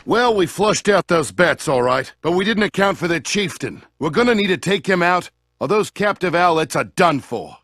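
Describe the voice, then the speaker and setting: Animated, resolute owl warrior. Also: male voice, sits high, moderate pace, background noise. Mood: determined. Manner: earnest, rallying. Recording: music under the voice.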